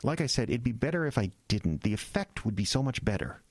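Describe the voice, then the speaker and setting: A man acting an obsessed, evasive husband in an audio drama, speaking in a halting, distracted, earnest way. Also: medium pitch, big pitch swings, a little mellow, dry recording. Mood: preoccupied, manic. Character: obsessed, evasive, frazzled husband on a secret mission.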